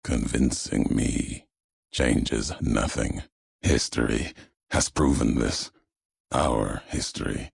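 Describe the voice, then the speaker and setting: Casting: brooding, fatalistic game courier, heavy fry and husky grain, grave, cryptic, unhurried menace. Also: male voice, no room sound, clean studio sound, exaggerated voice. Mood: solemn, unyielding. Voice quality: deep, gravelly, raspy. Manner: slow, solemn, cryptic, clipped phrases. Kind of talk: video game character dialogue.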